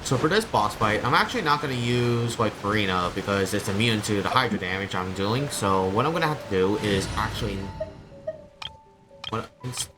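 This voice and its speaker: Low-voiced man commentating over game music. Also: lively intonation, moderate pace. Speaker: game streamer. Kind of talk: casual gameplay commentary. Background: video game music.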